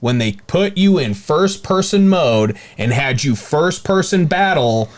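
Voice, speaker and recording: Blunt man with a slightly higher voice. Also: animated, unhurried. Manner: blunt, opinionated. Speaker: podcast co-host. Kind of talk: casual podcast chat.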